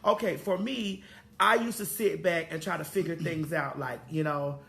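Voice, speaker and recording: A woman's voice: low voice, fairly even intonation, moderate pace, creaky, fairly bright tone. A woman in her forties hosting a casual talk show, profane and preachy, drawling out her stories. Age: 40s. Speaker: host.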